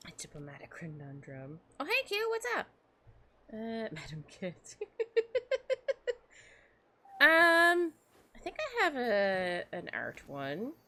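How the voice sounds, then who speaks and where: A woman's voice: medium pitch, wildly swooping pitch, slow pace, long pauses, pushed and bright. A young woman casually commenting while she plays a game, giggling and rambling as she reacts. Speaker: game streamer.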